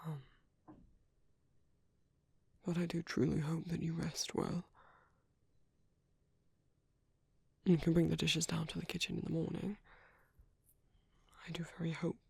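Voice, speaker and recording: Man playing a breathy, husky British vampire priest. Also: on the high side, level tone, normal speed, pauses often, dry recording, full character voice. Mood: bashful, caring. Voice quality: soft, breathy, husky, often near-whispered. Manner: hesitant, courteous, formal phrasing. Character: gentle, protective, bashful adult male vampire priest. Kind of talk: ASMR roleplay, intimate soft-spoken monologue.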